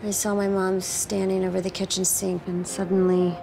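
An actress playing a haunting, wistful young woman, speaking slowly and softly in a film voice-over, with music behind her. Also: mid-range voice, flat delivery, vocal fry, close to an everyday voice, poor audio. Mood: haunted. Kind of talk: brooding film voice-over narration. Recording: film soundtrack with loud music.

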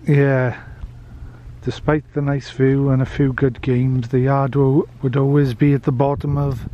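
A man's voice: medium pitch, flat delivery, unhurried, mellow, lo-fi sound. A man vlogging as he tours, giving casual commentary with hesitant, musing asides. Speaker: travel vlogger. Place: old prison building and its grounds. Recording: handheld camera, noticeable background noise.

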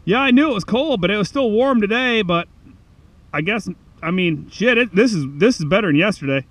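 A man in his thirties, vlogging casually from his truck and out on a frozen lake, thinking aloud in a relaxed, rambling way. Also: high-pitched, fairly quick. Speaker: fishing vlogger. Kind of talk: casual vlog narration. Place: inside a parked truck, then outdoors on a frozen lake. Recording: noisy outdoor camera audio.

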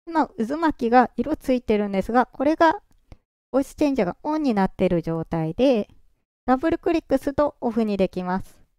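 A presenter walking through software setup step by step in a calm, explaining way, their voice run through a pitch-raising voice changer. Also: fast, clean tone, no room sound, studio quality. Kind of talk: screen-recorded software walkthrough.

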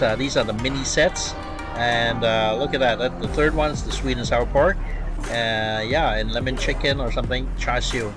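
Chatty man touring a fast food menu. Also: mid-range voice. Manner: chatty, enthusiastic, filler-heavy. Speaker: food vlogger. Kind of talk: casual food vlog narration.

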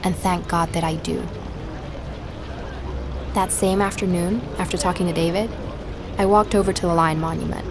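An actress playing an earnest, determined young woman investigator in an audio drama, speaking in a measured, reflective way. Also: lowish voice, fairly even intonation, noisy background, close to an everyday voice. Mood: reflective narration. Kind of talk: audio drama, conversation and first-person narration.

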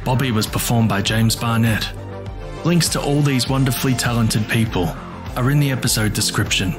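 A man's voice: mid-range voice, normal speed, over music. A man reading a scripted podcast outro and credits as the announcer.

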